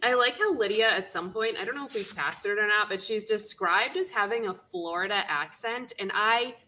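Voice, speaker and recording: A woman's voice: medium pitch, normal speed, sharp tone, slight background noise. A young woman co-hosting a podcast, chatting candidly and wryly, with casual swearing. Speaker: podcast co-host. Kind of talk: casual chat.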